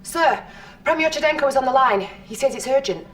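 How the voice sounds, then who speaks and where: An actress playing an earnest female intelligence officer, giving crisp, formal briefings in a video game. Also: high voice, expressive, brisk, fairly bright tone. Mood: urgent. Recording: slightly distant, music behind.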